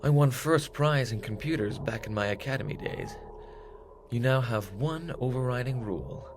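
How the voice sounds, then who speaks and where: A man's voice: mid-range voice, moderate pace, background noise, lightly acted. A man playing a bold space captain in an audio drama, with theatrical old-fashioned heroics. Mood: cocky. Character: bold, jaunty male space hero captain.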